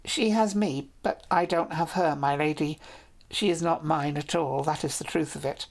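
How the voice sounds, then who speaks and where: A woman's voice: deep voice, moderate pace, gravelly. Woman playing a shy lovestruck knight. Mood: earnest, pleading. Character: young male knight, brave but lovestruck, shy.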